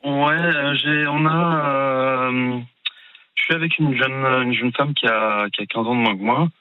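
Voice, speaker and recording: A forty-year-old man from Cherbourg with a light Norman French accent, calling in to a radio show and talking haltingly, full of fillers. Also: mid-range voice, clear, resonant tone. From Cherbourg, France. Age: 40s. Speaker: radio caller. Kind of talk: phone-in chat. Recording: tinny phone line.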